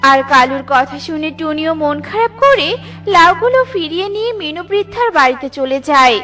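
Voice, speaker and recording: A woman narrates a children's cartoon story from a script. Her voice is high, and music runs behind her. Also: expressive, fairly quick, pushed and bright.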